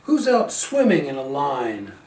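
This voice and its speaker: Older man reading slowly, very animated. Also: mid-range voice. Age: older, around 70. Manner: slow, singsong, playful calls with quieter asides. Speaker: grandfather reading a picture book aloud. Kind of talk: expressive children's storybook read-aloud. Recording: roomy, a little far from the mic.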